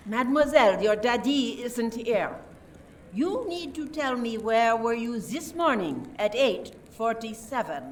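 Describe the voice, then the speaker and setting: A woman playing a suspicious, politely condescending French police inspector in an amateur radio play, deliberate and theatrical. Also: a little high, expressive. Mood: insistent. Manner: deliberate, theatrical, repeats words for emphasis. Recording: distant mic, faint noise, room ambience.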